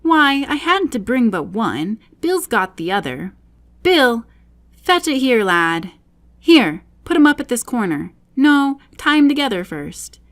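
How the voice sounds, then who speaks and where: A woman's voice: on the high side, sing-song, measured pace, voice pushed up. A woman voicing bustling little animal workers in a storybook, calling out short, urgent instructions. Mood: bustling, bossy.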